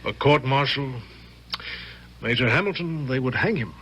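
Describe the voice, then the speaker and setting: An actor playing a grave, probing, thoughtful army general, speaking with measured authority in a radio drama. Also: male voice, medium pitch, animated, a bit bright, natural voice. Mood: grave, reflective. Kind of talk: 1970s radio drama acting. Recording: noisy vintage radio recording with hum.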